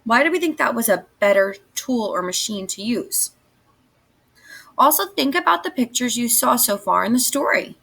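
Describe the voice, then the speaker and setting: A woman teacher addresses young children in a read-aloud lesson. Her slightly high voice moves up and down freely, with a husky edge. She lays out instructions and guiding questions step by step. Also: lively intonation, moderate pace, pushed and bright. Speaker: elementary school teacher.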